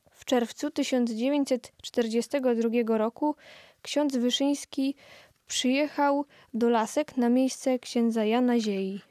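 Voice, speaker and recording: A woman narrates a historical audio play at an unhurried, slow pace. Her voice is soft-toned and mellow, recorded with almost no room echo. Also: a little high, clean studio sound.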